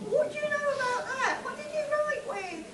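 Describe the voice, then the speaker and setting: A woman playing an excitable, folksy male sidekick in a spoof radio adventure play. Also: extremely high pitch, full character voice. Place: convention stage before an audience. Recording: roomy, distant, very noisy live convention hall.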